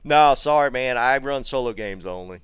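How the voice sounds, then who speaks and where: A man's voice: mid-range voice, moderate pace, clean tone, no room sound, studio quality. A middle-aged man with a Southern American accent from North Carolina, chatting casually while streaming a game and answering viewer comments, with plenty of fillers and restarts. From North Carolina, USA. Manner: relaxed, rambling, many fillers and restarts.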